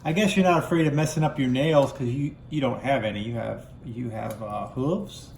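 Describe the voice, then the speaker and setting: Man, playful puppeteer for children, animated exclamations, improvised feel, roomy echoing sound. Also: mid-range voice, moderate pace, creaky, background noise. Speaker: father puppeteering toy ponies for a kids' video.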